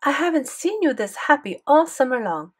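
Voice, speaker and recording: A doting but commanding old grandmother speaks with a thick French accent, as a woman reader puts her on. Her voice climbs high and swings in pitch as she presses with questions. She sounds imperious and curious at once. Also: very animated, normal speed. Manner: inquisitive, imperious.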